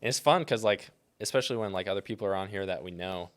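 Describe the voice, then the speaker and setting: A man's voice: low-pitched, fast. A man with an American accent chatting casually as a podcast guest, telling things in a rambling, informal way peppered with "like" and "dude".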